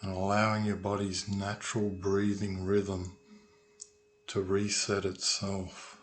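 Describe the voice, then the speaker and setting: A man leading a guided meditation as a calm, unhurried instructor, leaving long pauses between phrases. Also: sits low. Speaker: meditation guide, sound healer. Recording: noisy recording with ringing tuning forks. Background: humming tuning forks.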